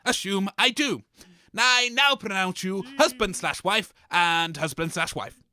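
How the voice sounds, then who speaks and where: An actor playing a pompous, scheming and dismissive radio host in a scripted comedy, with theatrical delivery. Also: male voice, sits high, animated, measured pace, sharp tone, heavily acted. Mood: brisk officiating.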